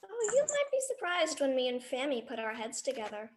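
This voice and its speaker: A woman's voice: high voice, wildly swooping pitch, a little fast, heavy background noise. A woman playing an earnest, inquisitive little girl with a direct, innocent manner in a live radio drama. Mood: earnest, hopeful.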